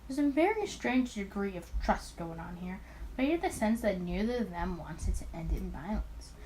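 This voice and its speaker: A woman's voice: medium pitch, animated, normal speed, dark tone, a little hiss or hum, lightly acted, low-quality recording. A woman voicing an earnest male detective in a visual novel, fretful and bewildered in his thoughts. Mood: worried.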